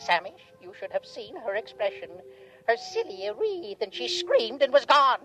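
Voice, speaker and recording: A high, theatrical alien creature, scheming and self-important, speaks in florid phrases. It is 1950s radio drama acting, with faint music underneath. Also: female voice, very animated, a little slow, rough recording. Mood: smug, gloating. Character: scheming alien creature, smug schemer turned desperate pleader.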